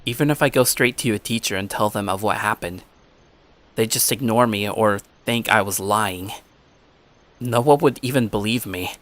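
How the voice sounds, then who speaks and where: A man's voice: fairly low pitch, normal speed, a bit bright, close to an everyday voice. A man playing a bitter, downtrodden teenage schoolboy who narrates his own story in a dramatic first-person style. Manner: brooding, self-pitying.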